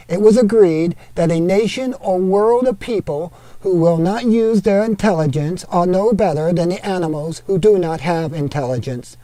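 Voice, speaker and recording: A man reading an article aloud in a put-on Norwegian accent, steady and deliberate. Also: sits high, no room sound.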